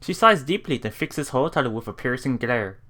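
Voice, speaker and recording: Woman reading a game, widely swinging pitch. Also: lively intonation, a little fast, noisy background. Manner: giggly, reactive asides between read lines. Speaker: let's play host. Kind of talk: live reading and reacting to a visual novel.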